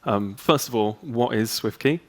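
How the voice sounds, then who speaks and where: Male speaker, upbeat conference talk on a hall stage, handheld mic, mid pitch. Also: measured pace. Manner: upbeat, chatty, many ums.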